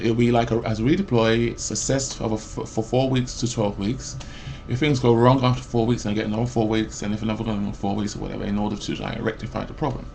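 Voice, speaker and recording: A man in his forties, a mental health support worker, ranting about his job in a loud, rambling way full of fillers and restarts. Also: medium pitch, gravelly, slight background noise. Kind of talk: rambling personal rant.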